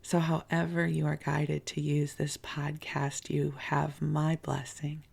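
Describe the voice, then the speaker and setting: A middle-aged woman hosting a sleep podcast, speaking slowly and softly, half in a whisper. She has a froggy, gravelly morning voice and records from bed under a blanket fort. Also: sits low, completely flat delivery. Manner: slow, soothing, partly whispered. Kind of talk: soft-spoken sleep podcast talk.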